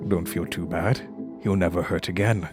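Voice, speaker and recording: An actor voicing a cruel, gloating entity that speaks through its puppet, quiet and taunting, in a horror audio drama. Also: male voice, deep voice, fairly even intonation, normal speed, music in the background, lightly acted. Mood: gloating menace.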